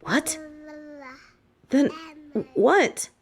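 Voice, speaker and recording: A woman's voice: high-pitched, expressive, very slow, heavily acted. A woman playing a stern, scornful older headmistress of a magic school in a fantasy audio drama, with a haughty, cutting delivery. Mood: angry disbelief. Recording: long reverberant hall with background noise.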